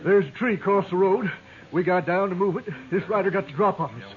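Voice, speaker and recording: An actor playing a rattled, plain-spoken stagecoach driver in a radio western, folksy and defensive, with a rural American accent. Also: male voice, high voice, fairly quick, natural voice. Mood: rattled, explaining. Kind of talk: 1950s radio western acting. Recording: tinny old radio recording, crowd behind.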